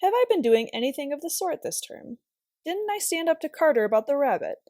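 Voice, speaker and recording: A woman's voice: high-pitched, very animated, fairly quick, darkish tone, dry recording, studio quality, pitched above the performer's own voice. A woman voicing an earnest, defensive schoolboy who tends to lecture, in a storybook reading. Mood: indignant, pleading. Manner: earnest, old-fashioned schoolboy phrasing.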